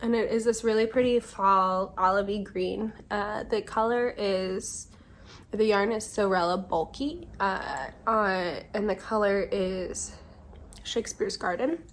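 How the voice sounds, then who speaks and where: Young woman, podcast host, slightly stuffy, rambling and chatty, mid pitch, faint background hiss. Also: a little slow. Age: 20s. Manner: chatty, rambling, with frequent ums and self-corrections. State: slightly congested from a cold. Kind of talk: casual chat. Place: home during a windy day.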